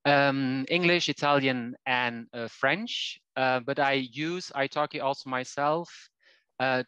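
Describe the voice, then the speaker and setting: A man's voice: mid-range voice, fairly bright tone, clean tone, dry recording. A Belgian man in his forties whose first language is Flemish Dutch, a language teacher speaking as a guest on a video call, often restarting and repeating words. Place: small home room with shelves. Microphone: headset on video call.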